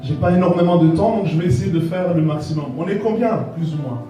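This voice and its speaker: A man's voice: high voice, quick pace, warm tone. A man speaking as a guest pastor, chatting informally to an audience with joking, hesitant asides. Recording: very echoey room, distant mic, music. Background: guitar music.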